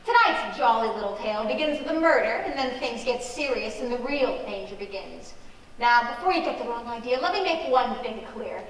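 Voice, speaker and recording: A woman's voice: on the high side, lively intonation, brisk, soft background music, natural voice. Woman playing a droll radio suspense host, echoey hall. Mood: droll, mock-serious. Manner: theatrical, mock-ominous, tongue-in-cheek. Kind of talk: old-time radio mystery host, scripted introduction. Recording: very echoey hall, distant mic.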